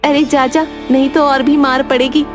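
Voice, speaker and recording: A feisty, high-pitched village woman from a cartoon, put on by a female performer. She is bold, brash and scornful, with music playing behind. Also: level tone, moderate pace, natural voice. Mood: defiant. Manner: jeering, brash. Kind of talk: cartoon story voice acting.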